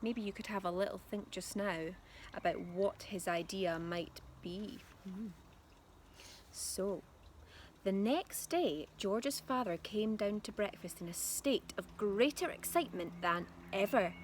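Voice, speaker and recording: A woman's voice: mid-range voice, unhurried. A woman reading a storybook aloud expressively like a primary teacher, speaking directly to young listeners. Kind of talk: expressive storybook narration for children. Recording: clear background noise.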